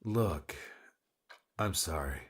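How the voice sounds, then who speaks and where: A man voicing a weary, brusque rescuer with a secret caring side and a husky voice, in a dramatic audiobook. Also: sits low, animated, extremely slow, a little hiss or hum, full character voice. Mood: apologetic, earnest. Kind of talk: dramatic audiobook character dialogue.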